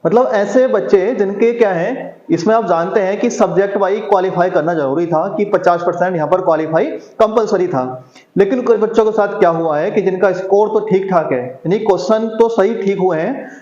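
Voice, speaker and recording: Guy coaching exam students, high voice, keeps asking then answering his own questions, muffled lo-fi audio. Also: brisk, a little mellow. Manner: asks himself rhetorical questions and answers them; repeats key figures for emphasis. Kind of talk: explanatory exam-prep lesson. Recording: echoey room, dull lo-fi sound.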